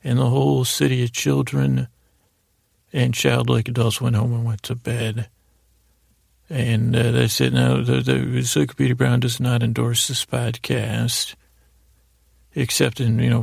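Very creaky-voiced, meandering male podcast host. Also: medium pitch, flat delivery, dry recording. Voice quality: gravelly, creaky. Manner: meandering, halting, trails off and loses his way between words; gently silly, whimsical. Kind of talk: rambling bedtime podcast monologue.

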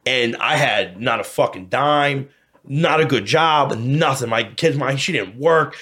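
Casting: American male, 30s, mid-pitched voice, loose candid chat, swears freely, rambles and doubles back. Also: a little slow, a bit bright, husky. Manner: profane, rambling, repeats himself, frequent "you know". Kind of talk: casual candid podcast chat.

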